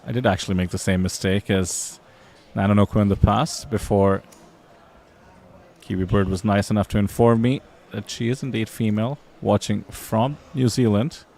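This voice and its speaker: A man's voice: on the low side, fairly even intonation, moderate pace, vocal fry, a little mellow. A man giving live poker commentary from a booth, analytical with a dry sense of humor.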